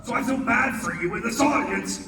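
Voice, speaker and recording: High-pitched man reading in echoey hall. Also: expressive, fairly quick, pushed and bright. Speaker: audience volunteer reading a comic script. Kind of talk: live comedy table read. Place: convention hall with audience. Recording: echoey noisy hall, distant mic.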